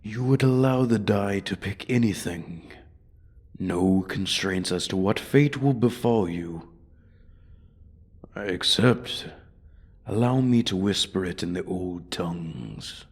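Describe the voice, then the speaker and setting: A man's voice: deep voice, animated, slow pace, a little mellow, breathy edge, exaggerated voice. A man voicing an ancient male dragon in a fantasy roleplay, haughty, bored and menacing, speaking formally and condescendingly. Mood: menacing, solemn. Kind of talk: ASMR fantasy roleplay audio.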